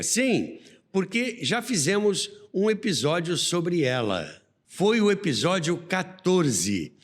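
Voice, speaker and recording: A man with a Brazilian accent hosting a podcast, telling history in an expressive, didactic, conversational way. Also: a little high. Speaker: podcast host. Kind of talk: conversational storytelling about radio history. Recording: long reverberant hall-like echo.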